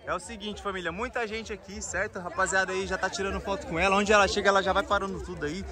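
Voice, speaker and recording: A man's voice: sits high, fast. A young man with a São Paulo accent chatting in hyped, slangy style for a casual outdoor vlog. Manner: excitable, slangy. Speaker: kite channel vlogger. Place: outdoors at a crowded kite festival. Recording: outdoor crowd noise around the voice.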